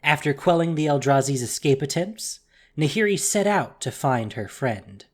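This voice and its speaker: A narrator reading a scripted fantasy story in a measured, theatrical way with deliberate pauses. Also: medium pitch, lively intonation.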